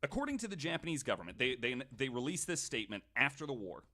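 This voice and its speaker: A man hosting a podcast, telling a story to co-hosts in casual, comedic, animated chat with some swearing. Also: medium pitch, quick pace.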